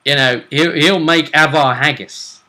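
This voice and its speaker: Bright, forceful man hosting a gaming chat. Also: a little high, a little slow. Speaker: host of a tabletop role-play vidcast. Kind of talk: casual tournament preview chat.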